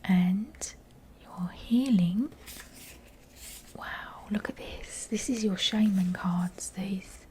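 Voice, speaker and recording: A woman with a croaky, breathy voice, often close to a whisper, giving an intimate, informal tarot reading to viewers with gentle, chatty asides and a slightly low-energy delivery. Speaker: tarot card reader and channel host.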